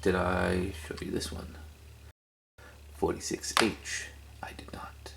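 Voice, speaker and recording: A man's voice: sits low, completely flat delivery, slow pace, creaky, in a whisper. A man chatting casually and hesitantly as he shows off a collection, repeating words for emphasis. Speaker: cassette collector video host. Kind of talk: casual rambling show-and-tell.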